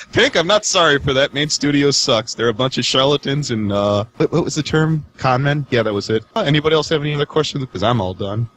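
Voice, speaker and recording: A man's voice: mid-range voice, lively intonation, brisk, a bit bright, a little hiss or hum. A man hosting a casual podcast with teasing, jokey banter. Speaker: podcast host.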